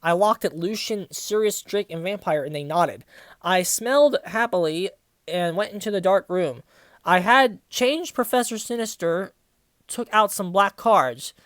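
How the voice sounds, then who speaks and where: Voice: medium pitch, unhurried, fairly bright tone, no echo. Sarcastic reader with a dry, close sound. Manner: sarcastic, profane asides. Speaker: reviewer reading fan fiction aloud. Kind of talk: dramatic read-aloud with mocking casual commentary.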